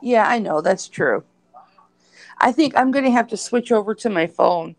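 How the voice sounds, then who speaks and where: A woman's voice: mid-range voice, animated, moderate pace, no echo. A woman co-hosting a casual live stream chat, easygoing while she sorts out her devices.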